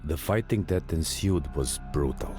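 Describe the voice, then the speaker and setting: Deep, somber soldier narrator. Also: male voice, animated. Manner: grave, measured, reflective. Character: somber male war veteran recounting a lost battle. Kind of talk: scripted cinematic game narration. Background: loud music.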